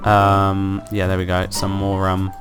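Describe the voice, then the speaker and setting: Croaky, upbeat man narrating gameplay. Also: sits low, monotone, a little slow, music in the background. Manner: upbeat, rambling, thinks aloud with fillers. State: croaky from illness. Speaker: let's play host. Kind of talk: casual gameplay commentary.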